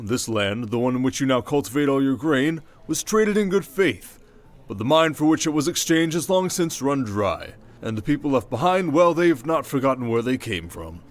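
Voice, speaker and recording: A man plays a shrewd fantasy king in an ASMR audio drama, with a mid-pitched voice. He is measured and wry, regal in bearing, with a teasing and protective streak. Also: natural voice. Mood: formal, firm.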